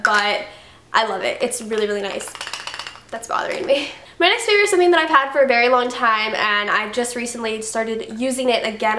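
Young woman, congested, slightly high voice, chatty and gushing, echoey bedroom. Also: fairly bright tone. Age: young adult. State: congested from a cold. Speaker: beauty vlogger. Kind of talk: casual product review. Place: bedroom, indoors.

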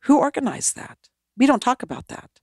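A woman, a former mayor, telling her story as a podcast guest in an animated way, often acting out what people said. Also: high voice, brisk, no echo, studio quality. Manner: animated, acts out quoted dialogue. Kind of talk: storytelling conversation.

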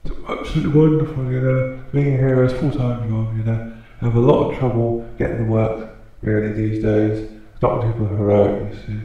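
A man's voice: mid-range voice, level tone, fairly quick, very echoey, distant mic, dull audio, voice pushed up. A British man voicing a pompous, self-pitying hero through a voice changer. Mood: plaintive, pompous.

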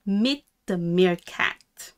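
A middle-aged woman reading a children's picture book aloud in a sing-song, deliberate way with exaggerated intonation. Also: lowish voice, clear, resonant tone. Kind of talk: children's read-aloud, slow and expressive.

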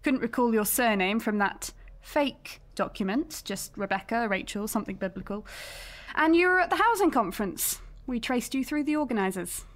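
Businesslike lady cop in a radio play, played by an actress, fairly high voice, firm and measured. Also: animated, lightly acted. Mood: probing, firm. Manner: measured, matter-of-fact, firm. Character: brisk, businesslike female police detective sergeant. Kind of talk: audio drama acting.

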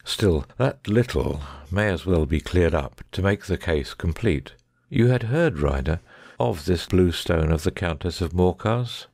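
A man's voice: low voice, expressive, measured pace, creaky, darkish tone, dry recording, studio quality, full character voice. A man playing a shrewd, commanding, coolly sardonic detective with precise, formal speech in an audiobook dramatic reading. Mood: stern, accusing.

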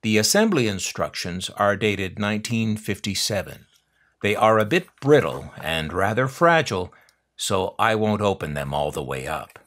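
An older man with an American accent narrates in a fairly low voice that rises and falls dramatically. His delivery is wry and nostalgic, like a prepared script for an unboxing video, with dry asides. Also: a bit bright. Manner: wry, dryly humorous. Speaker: host of a collector's unboxing channel.

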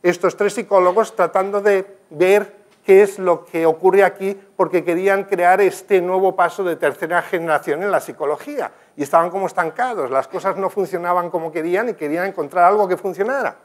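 A man's voice: high voice, a little fast. An older man giving a talk with slides in a conference room, telling stories earnestly and gesturing as he speaks. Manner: earnest, storytelling, animated gestures, some restarts. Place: conference room with projector screen.

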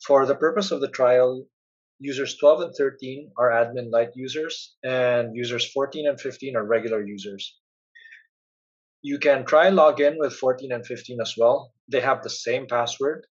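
Husky man narrating a software tutorial. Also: mid-range voice, normal speed, dull audio. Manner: plain, instructional. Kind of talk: step-by-step screencast narration.

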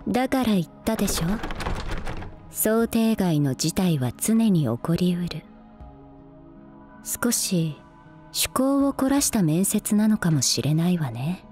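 Slow, soft, deadpan senior schoolgirl. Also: fairly low pitch, faint music behind. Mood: cool, deadpan. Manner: deadpan, soft-spoken, unhurried, occasionally whispery. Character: cool, devoted, eerily calm dark-haired female senior student. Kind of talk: ASMR voice drama acting. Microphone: close binaural microphone.

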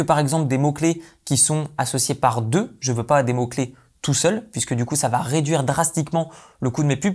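A man in his thirties giving a brisk, explanatory tutorial to camera, seated indoors in a dim room at home. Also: mid-range voice, a little mellow. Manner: brisk, explanatory, repeats "et donc de ce fait". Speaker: online business coach.

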